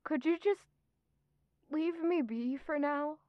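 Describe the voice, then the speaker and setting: A woman's voice: sits high, slow pace, no room sound, phone-quality sound. An actress in an audio drama playing a guarded, evasive young noblewoman who claims to be unwell, answering reluctantly. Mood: weary.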